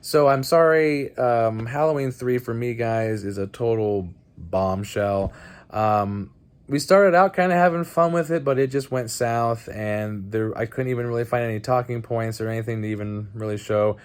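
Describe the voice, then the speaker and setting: A man hosting a casual movie review vlog, speaking bluntly in a halting, conversational way. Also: mid-range voice, clean tone. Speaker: host of a movie night video channel.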